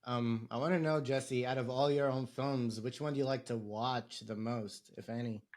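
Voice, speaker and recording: A man hosts a podcast interview, putting his questions in a relaxed, easygoing way. His voice sits at an ordinary middle pitch, and he answers the guest with quick laughs and short agreements. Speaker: podcast host.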